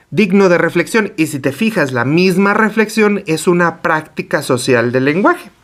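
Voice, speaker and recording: A man giving a lecture to teachers. He asks himself questions and then answers them, and he corrects himself as he goes. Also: sits high. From Mexico. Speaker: teacher trainer. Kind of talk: explanatory online lecture.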